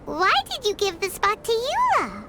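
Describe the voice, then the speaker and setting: A woman voicing a small, excitable, childlike fairy companion in a video game, exclaiming as she talks. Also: extremely high pitch, normal speed, slight background noise, heavily acted. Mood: excited. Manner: refers to self in third person, exclamatory. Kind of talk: animated game voice acting.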